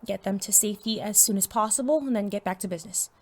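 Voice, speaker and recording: Woman acting as young hero sidekick girl in an audio drama, mid pitched voice, nervous but keen, narrates her own story. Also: fast talker, lightly acted. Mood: focused, unsure. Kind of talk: audio drama dialogue and first-person narration.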